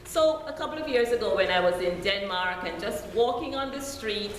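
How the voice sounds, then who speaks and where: A middle-aged woman from Jamaica with a Jamaican accent, a deacon preaching a sermon in slow, deliberate storytelling, recorded in a church sanctuary. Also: medium pitch, animated. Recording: echoey church hall with faint noise.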